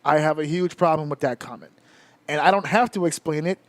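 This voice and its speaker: A man in his thirties hosts a loose round-table podcast, his voice sitting high for a man. He rants with heavy swearing and punchy emphasis. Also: brisk, no echo. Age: 30s. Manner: profane, emphatic and rant-like. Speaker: podcast host. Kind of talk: casual round-table chat.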